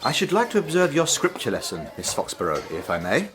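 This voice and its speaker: A man's voice: fairly high pitch, huge pitch swings, fairly quick, a crowd in the background. A man playing a prim, officious headmaster narrating his formal report in a radio drama. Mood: politely airy.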